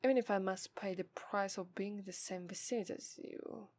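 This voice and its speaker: Casting: woman to play a femme fatale demoness, contemptuous and seductive, Italian accent, formal ASMR delivery slipping into whispers, mid pitch, very dry sound. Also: fairly even intonation, darkish tone, dry recording, natural voice. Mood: grudging, haughty. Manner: haughty, deliberate, formal, dropping into breathy whispers. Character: haughty, scornful, seductive female demon, summoned and contemptuous. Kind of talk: ASMR roleplay voice acting.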